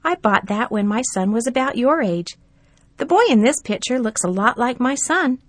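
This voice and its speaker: A woman voicing a mild, forgetful old grandfather in a storybook, speaking slowly and musing. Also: a little high, animated, dry recording, pitched above the performer's own voice.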